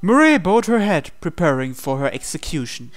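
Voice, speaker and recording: Male narrator for a visual novel read-aloud, slightly high register, smooth clean tone, dramatic scripted delivery. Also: big pitch swings, normal speed, soft background music.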